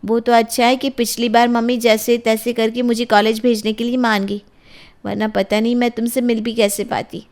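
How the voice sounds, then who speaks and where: A woman's voice: a little high, flat delivery, moderate pace, darkish tone, voice pushed up, lightly acted. A woman voicing an anxious college-age daughter in an audio drama, pleading and defending herself. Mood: worried.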